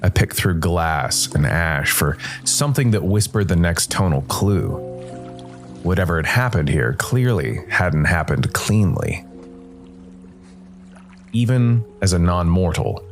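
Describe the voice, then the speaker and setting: A man playing a brooding jazz musician turned supernatural investigator, telling his case in measured first-person noir narration. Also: low voice, sing-song, faint music behind, heavily acted. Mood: grim, wry.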